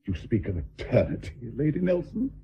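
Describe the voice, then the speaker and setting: An actor playing a stern, courteous, worldly lord in a period radio drama, with formal, emphatic, rhetorical delivery. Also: male voice, medium pitch, huge pitch swings, moderate pace, vocal fry, mellow, breathy edge, muffled recording, exaggerated voice. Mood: stern, insistent.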